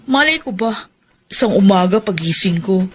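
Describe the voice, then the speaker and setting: A woman's voice: medium pitch, expressive, moderate pace, natural voice. Young filipina played by an actress, ambitious girlfriend in a radio drama, emphatic, bit of background noise. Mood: evasive, firm. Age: young adult. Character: ambitious, hesitant young factory worker, a girlfriend.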